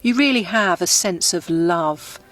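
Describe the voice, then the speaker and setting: A woman narrates a scripted guided meditation in a gentle, unhurried, descriptive storytelling manner. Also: lowish voice, lively intonation. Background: background music.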